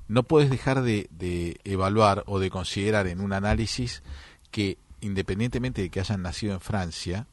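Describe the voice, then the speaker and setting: An Argentine man with a fairly low voice and a dry, close sound. He talks as a panelist, laying out arguments in an analytical but conversational way. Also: moderate pace, no echo. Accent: Argentine Spanish.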